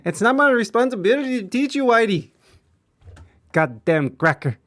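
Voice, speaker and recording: A man putting on indignant Reggie, high and affronted. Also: big pitch swings, moderate pace, a bit bright, heavily acted. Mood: scolding. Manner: mock-offended, calling names. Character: indignant Spanish-speaking Dominican man Reggie.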